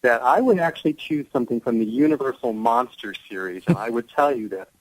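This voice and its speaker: A man's voice: medium pitch, expressive, brisk, no echo, rough recording. A man speaking as an actor guest in a casual radio interview, explaining things with enthusiasm. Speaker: guest, audio drama actor.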